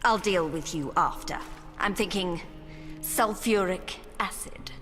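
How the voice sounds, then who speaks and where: An actress playing a sadistic, haughty vampire queen villain in a video game, speaking with mocking, deliberate menace. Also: lowish voice, fairly bright tone. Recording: long hall reverb with music behind.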